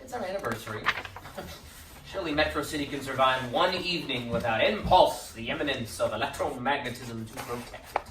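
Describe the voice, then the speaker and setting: A woman's voice: rumbling low, fairly quick. A woman playing a cocky, wisecracking superhero at a table read of a play. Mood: uneasy aside. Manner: wisecracking, taunting. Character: cocky, quipping superhero Impulse, fighting robots. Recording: echoey room, distant mic, faint noise.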